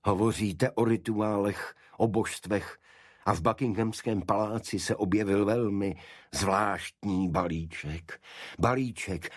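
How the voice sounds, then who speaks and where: A man's voice: fairly low pitch, no room sound, clean studio sound, pitched above the performer's own voice, close to an everyday voice. A man voicing a relentless male detective in an audiobook, pressing his questions with accusation.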